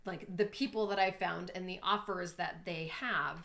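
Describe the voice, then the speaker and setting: A woman's voice: lowish voice, fairly even intonation, normal speed, vocal fry, pushed and bright. A woman in a casual coaching talk, chatty and thinking aloud with lots of fillers. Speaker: business owner being coached.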